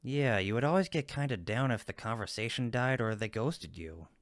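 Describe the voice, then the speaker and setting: A man's voice: mid-range voice, expressive, normal speed, dry recording, close to an everyday voice. A man playing a friendly cupid roommate in a comfort roleplay, teasing and supportive, with an emphatic, reassuring manner. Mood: concerned. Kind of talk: roleplay audio comfort acting, one-sided conversation.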